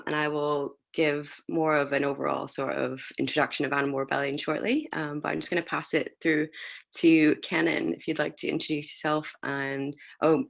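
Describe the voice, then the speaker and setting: A woman from Canada moderating an online panel. She speaks gently and calmly, pausing often with ums. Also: sits low, vocal fry. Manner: gentle, calm, halting with ums.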